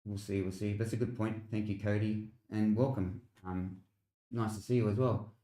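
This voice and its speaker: A man's voice: on the low side, fairly even intonation, normal speed, mellow, echoey room, poor audio. A man with an Australian accent hosting a casual live weather stream, explaining things informally as he replies to viewers. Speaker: weather livestream host. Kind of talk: casual live commentary on weather models, replying to chat.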